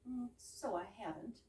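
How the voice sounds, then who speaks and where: A woman's voice: a little high, expressive, a little slow, warm tone. A woman playing a playful, teasing younger sister in an amateur staged reading of a 1920s comedy, breezy and mock-grand. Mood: cheeky. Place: live reading in a roomy indoor space. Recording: echoey room, distant mic, background noise.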